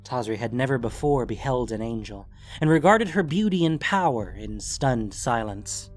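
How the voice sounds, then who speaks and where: A man's voice: medium pitch, animated, moderate pace. Man narrating dramatic fantasy over faint music. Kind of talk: scripted dramatic story narration.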